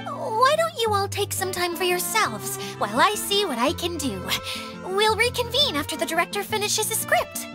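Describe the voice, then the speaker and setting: Very high, perky little mascot. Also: female voice, big pitch swings, normal speed, music in the background. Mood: surprised, chatty. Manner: perky, teasing, exclamatory. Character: small cheeky female fantasy mascot, chatty and excitable. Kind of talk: scripted game voice acting.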